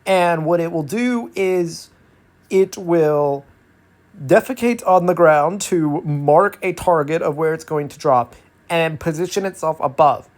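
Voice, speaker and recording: A man giving a detailed educational explainer in ASMR style, restating key points for emphasis as he goes. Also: on the high side. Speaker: educational content creator.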